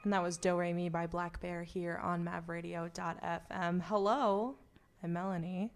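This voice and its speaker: A woman's voice: on the low side, level tone, normal speed, faint music behind. A young American woman chatting casually on air as a radio host.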